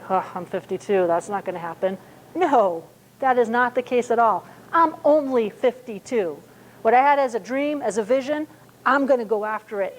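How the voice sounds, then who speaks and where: A woman's voice: a little high, a little hiss or hum, poor audio. Chatty woman in her fifties preaching. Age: 50s. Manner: conversational, many fillers and restarts. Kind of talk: sermon at a church service.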